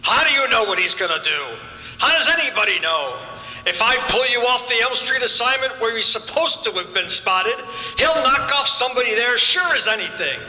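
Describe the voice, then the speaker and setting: A man's voice: extremely high pitch, expressive, normal speed, pushed and bright, full character voice. A man playing a blunt, pressured police inspector in an old-time radio detective drama. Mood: gruff, pressured. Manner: blunt, tough cop talk. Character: harried police inspector, commanding and pressured, male. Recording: echoey hall, roomy stage sound.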